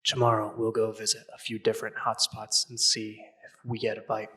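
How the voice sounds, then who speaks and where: A man's voice: fairly low pitch, completely flat delivery, fairly quick, bright, forceful tone, breathy edge, no echo. A man playing a serious, take-charge young investigation leader in an audio drama, with a dry, terse manner. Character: Simon, serious young man leading a paranormal investigation team.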